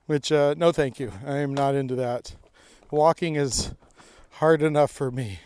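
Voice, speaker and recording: A man's voice: fairly high pitch, steady tone, unhurried, a little mellow, smooth. A man co-hosting a podcast, chatting casually and rambling a little as he walks an outdoor mountain trail. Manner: chatty, rambling, laughs often. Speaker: podcast co-host. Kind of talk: casual chat on a hike.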